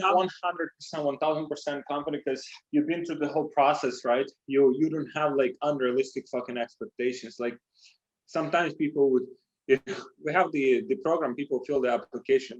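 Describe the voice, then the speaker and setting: A man's voice: medium pitch, fairly even intonation, brisk, dull audio. A man hosting an interview, talking loosely and casually, with frequent "like" and some swearing. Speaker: host.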